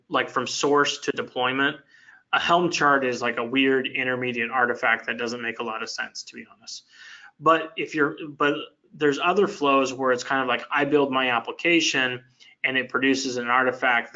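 A man's voice: mid-range voice, sharp tone. A man answering technical questions in a web meeting, rambling with many fillers and restarts. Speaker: software engineer presenting a product. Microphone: video call. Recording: dull lo-fi web meeting audio.

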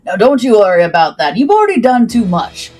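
A woman voicing a gruff, fatherly, mountainous older male ship's cook, scolding indulgently in folksy seafarer's talk for a story. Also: mid-range voice, sing-song, fairly quick, a little mellow, soft background music. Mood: kindly firm.

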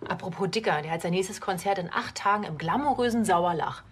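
An actress playing a casual, teasing police station colleague in a TV crime comedy. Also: female voice, lowish voice, a little fast, a little hiss or hum, natural voice. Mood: wry.

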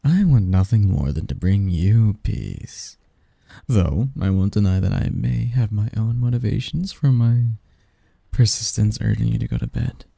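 A man voicing a seductive, doting sleep demon lover in an intimate ASMR roleplay, breathy and coaxing, close to the mic. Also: lowish voice, mellow, dry recording, heavily acted. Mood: teasing, affectionate. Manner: slow, coaxing, teasing, murmured. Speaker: roleplay audio performer. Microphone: close, dry microphone.

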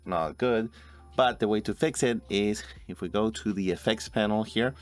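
A man's voice: lowish voice, moderate pace. A man in his forties narrating a video editing tutorial step by step as host. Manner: step-by-step, instructional. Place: indoor room at a computer. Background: music.

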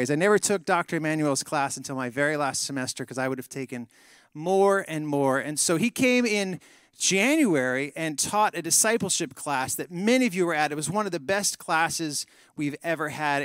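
A man's voice: fairly high pitch, lively intonation, fairly quick, fairly bright tone, smooth, no room sound. A man as a church pastor introducing a guest speaker from the stage, enthusiastic and informal. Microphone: handheld microphone.

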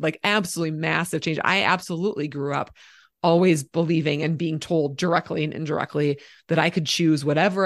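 Gravelly-voiced animated younger woman. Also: low-pitched, dry recording, clean studio sound. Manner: animated, laughs often, quotes herself. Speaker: podcast guest. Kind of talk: casual conversation.

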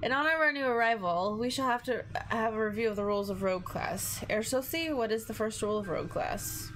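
A woman voicing a formal, stern male schoolmaster with a put-on German accent, speaking in a measured, courteous but firm way over music. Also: a little high, a bit bright, slight background noise, natural voice.

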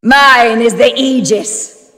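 An actress playing a proud, fierce goddess of war and ice in a fantasy video game, declaiming in a grand, commanding manner. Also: female voice, fairly high pitch, slow, a bit bright. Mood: fierce, exultant. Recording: long reverberant hall effect.

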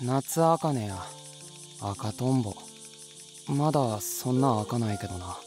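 Reserved, deadpan teenage boy. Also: mid-range voice, slow. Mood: dry, casual. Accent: Mie dialect. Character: reserved, brooding, dry teenage schoolboy. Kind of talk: voice comic acting. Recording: faint music and insects behind.

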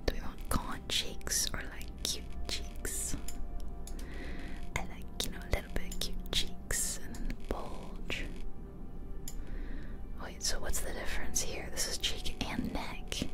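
A woman's voice: slow pace, over music. A woman whispering ASMR game commentary up close to the microphone, musing aloud and chatting directly to listeners. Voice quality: unvoiced whisper throughout. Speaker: ASMR game creator and host. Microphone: close ear-to-ear ASMR microphone.

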